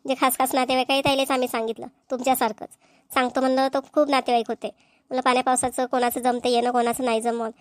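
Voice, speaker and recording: A woman's voice: high voice, flat delivery, fast talker, no room sound, studio quality, close to an everyday voice. A woman voicing chatty village women in a cartoon, with a Vidarbha Marathi accent and a folksy, colloquial manner. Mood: sociable. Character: chatty village women gossiping at a family engagement. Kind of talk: amateur cartoon dialogue acting.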